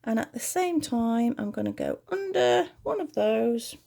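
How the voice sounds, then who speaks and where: A British woman hosting a crochet tutorial at home, chatty and rambling as she talks through each step, her voice a little croaky. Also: on the high side, sing-song, a little slow, warm tone. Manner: friendly, rambling, talks while working.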